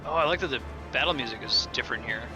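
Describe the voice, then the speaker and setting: A man's voice: medium pitch, very fast, over music, low-quality recording. A man chatting casually as he plays a video game with friends. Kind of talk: casual gaming chat.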